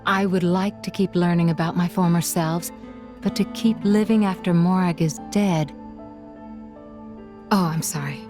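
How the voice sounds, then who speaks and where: A woman's voice: fairly low pitch, fairly even intonation, a little slow, pauses often, natural voice. A woman voicing a composed, courteous, devoted female video game character who speaks formally. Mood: thoughtful, apologetic. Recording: music behind the dialogue.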